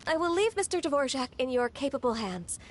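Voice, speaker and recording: A dutiful young secretary in a game. Her voice is high, gentle and polite, slightly awkward and very earnest, with faint noise behind it. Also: big pitch swings, fairly quick. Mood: polite, flustered. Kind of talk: scripted video game dialogue.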